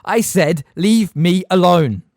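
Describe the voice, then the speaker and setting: A man reading an audiobook voices an angry, defiant teenage boy wizard who shouts in a shaking voice. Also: high-pitched, sing-song, slow pace, dry recording, studio quality, voice pushed up, heavily acted. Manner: loud, shaking with anger, shouting.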